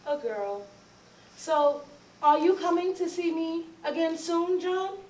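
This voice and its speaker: Woman acting slow, high-voiced, guarded former sweetheart. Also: animated, a little mellow, full character voice. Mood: uneasy. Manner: hesitant, folksy speech. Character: guarded, wistful, unhappy forty-year-old former sweetheart. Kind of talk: readers theatre stage drama. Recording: roomy, distant, noisy recording.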